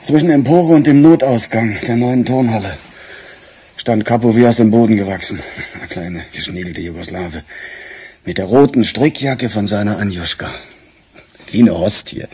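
An actor playing a weary, wry male police detective in a conversational style for a German radio crime drama, with a rough, husky voice. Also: fairly low pitch, normal speed, a little mellow. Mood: wry, recounting.

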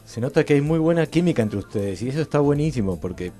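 A man's voice: fairly high pitch, animated, fast, warm tone, soft background music. A man with an Argentine accent co-hosting a radio arts show in a small studio, talking casually with easy fillers. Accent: Argentine Spanish. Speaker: radio co-host. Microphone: studio mic with headphones.

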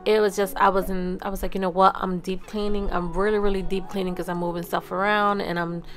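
A woman's voice: mid-range voice, little pitch movement, a little fast, fairly bright tone, soft background music. A woman giving a casual, chatty vlog voice-over, talking informally and directly to her viewers. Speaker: family vlogger, host. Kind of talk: casual voice-over narration of cleaning.

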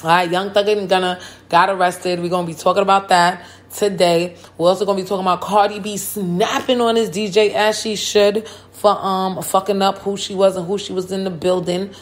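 A hoarse woman hosting a hip hop and politics podcast, talking casually and full of slang and hype. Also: on the low side. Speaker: podcast host. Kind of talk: casual chat.

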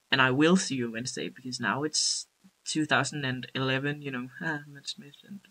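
A woman's voice: deep voice, pushed and bright, rough recording. A young Danish woman vlogging casually to a webcam in her bedroom, rambling and laughing at herself, speaking English as a second language. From Denmark. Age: young adult. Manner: rambling, self-deprecating, giggly, gestures a lot. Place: small bedroom indoors. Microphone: built-in webcam mic.

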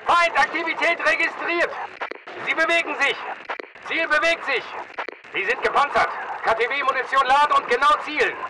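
Male shooter-game mercenary soldier: very high-pitched, disciplined, aggressive, clipped tactical callouts; distant pickup in heavy noise. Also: moderate pace. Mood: focused, businesslike. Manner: clipped, urgent commands and reports. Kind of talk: video game battle chatter, military barks.

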